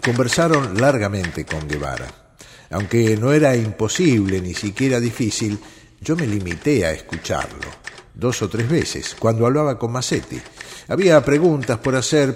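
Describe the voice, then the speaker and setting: Man, low solemn reading, reverberant with faint noise. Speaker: reader of a literary text.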